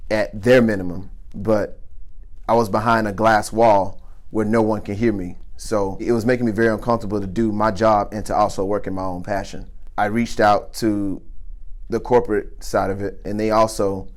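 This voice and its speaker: A man with a naturally deep, low voice speaks in an interview. He tells his story earnestly and deliberately, with the steady cadence of a chef describing his work. His pitch barely moves, so the delivery stays even and grounded. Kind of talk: interview testimony.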